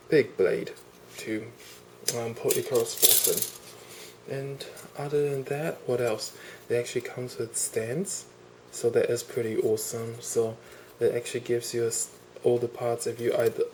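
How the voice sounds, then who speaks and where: A man with a cold speaks at a middle pitch, gushing over a toy in an eager, casual way. His voice comes through a camera mic indoors, with a faint hiss underneath. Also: a little mellow, rough recording. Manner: enthusiastic, gushing, repeats "awesome" and "really". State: has a cold. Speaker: toy reviewer. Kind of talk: casual unboxing review.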